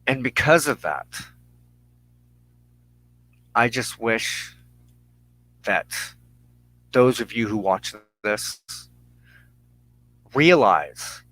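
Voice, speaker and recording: American guy doing an earnest monologue, really slow w big pauses, sharp voice, dry sound. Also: on the low side, no room sound, poor audio. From United States. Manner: deliberate, halting phrases with emphatic pauses. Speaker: host of a weekly religious broadcast. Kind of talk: earnest personal monologue to camera.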